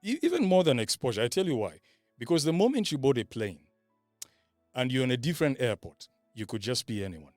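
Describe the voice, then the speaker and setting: A man's voice: mid-range voice, big pitch swings, fairly quick, a little mellow, no room sound, clean studio sound, faint music behind. A man giving casual motivational talk on a podcast panel, emphatic and repeating phrases for effect.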